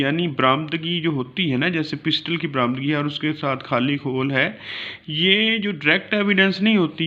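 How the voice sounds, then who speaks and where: A man with a Pakistani accent giving an explanatory law lecture in a didactic manner, mixing in English terms. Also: on the high side. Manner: didactic, reads citations slowly then explains. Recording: dull lo-fi recording.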